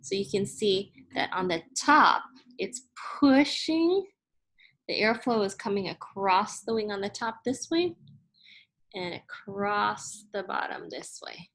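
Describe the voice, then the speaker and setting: A woman reads aloud from a children's book in the manner of a teacher. Her pace is slow and deliberate, and her pitch sits in the middle range. She sounds instructive, setting out each term carefully for young listeners. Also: expressive, gravelly, a bit bright, rough recording. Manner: deliberate, instructive, spelling out and explaining terms.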